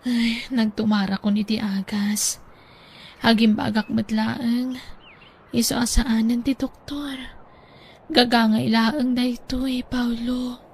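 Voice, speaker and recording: A woman's voice: fairly high pitch, flat delivery, slow, a little mellow, slightly rough, heavily acted. Woman playing a worried, ailing young wife. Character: ailing young wife and mother, anxious and secretive. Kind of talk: audio drama acting.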